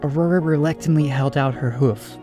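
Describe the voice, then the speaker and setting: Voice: mid-range voice, brisk. A narrator reading scripted storybook prose for an audio drama, over music.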